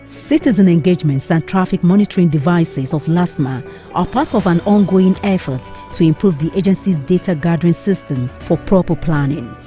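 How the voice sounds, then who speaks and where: News reporter voice-over, mellow. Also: medium pitch, a little fast, few pauses, soft background music. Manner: formal, declarative. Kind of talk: scripted news narration.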